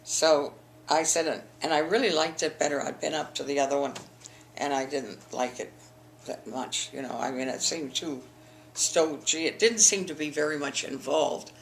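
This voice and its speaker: A woman's voice: low-pitched, normal speed, slight background noise. An older woman from the eastern United States, telling her school memories in an oral history interview, rambling with frequent restarts. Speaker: interviewee, alumna.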